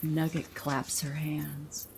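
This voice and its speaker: A woman's voice: low voice, little pitch movement, slow, creaky, background noise. A woman reading scripted narration for a radio play. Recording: music behind the voice.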